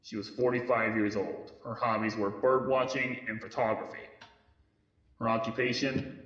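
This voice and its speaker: A man reading a scripted police case briefing in a halting way, occasionally stumbling over words. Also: medium pitch, flat delivery, fairly bright tone. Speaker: narrator presenting a police case file. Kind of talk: scripted narration, mock police briefing tape in an analog horror story. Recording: muffled lo-fi tape sound, roomy echo.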